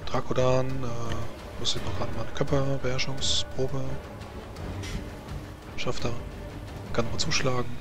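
A man's voice: medium pitch, level tone, unhurried, long pauses. A man casually moderating a tabletop role-playing game as game master. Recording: music behind the voice. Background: music.

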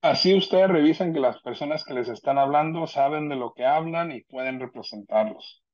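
A man's voice: fairly high pitch, moderate pace, darkish tone. A man giving an informal explanatory online presentation as a legal representative, talking in a conversational, somewhat hesitant way over a video call. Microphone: video call computer microphone. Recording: dull lo-fi video call audio.

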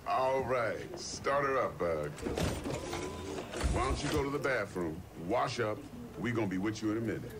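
A man's voice: on the low side, lively intonation, moderate pace, very noisy. An actor playing a coolly condescending, menacing male gangster boss in a cinematic game trailer. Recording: game trailer audio under loud music.

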